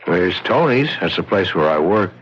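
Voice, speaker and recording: An actor playing a skeptical, wisecracking bartender neighbor in a 1970s radio drama, casual, sardonic and streetwise. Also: male voice, low voice, sing-song, normal speed, a bit bright, a little hiss or hum. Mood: wry, probing. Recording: dull old radio broadcast recording.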